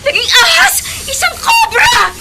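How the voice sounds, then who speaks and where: A woman playing a frightened but defiant young woman in a horror radio drama, highly emotional. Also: sing-song, unhurried, sharp tone, raised voice, faint music behind, heavily acted. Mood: alarmed.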